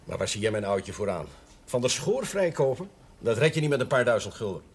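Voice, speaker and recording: Lively, wry Dutch priest. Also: male voice, medium pitch, a little fast, breathy edge. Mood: dry, wry. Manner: wry, teasing, ironic. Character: wry, irreverent village priest with a teasing wit. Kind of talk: naturalistic television drama acting. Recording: noisy old television soundtrack.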